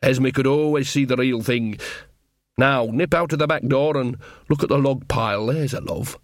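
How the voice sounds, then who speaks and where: A man's voice: medium pitch, normal speed, dry recording, clean studio sound, close to an everyday voice. A man voicing an old country witch woman in an audiobook, folksy, blunt, motherly and bossy. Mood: soothing.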